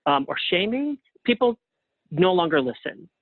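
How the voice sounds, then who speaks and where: A man speaking as an interview guest in a casual, thoughtful, halting way over a tinny phone-like connection. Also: high voice, very animated, no room sound. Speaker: interview guest, playwright and artist. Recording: narrow tinny phone-like line.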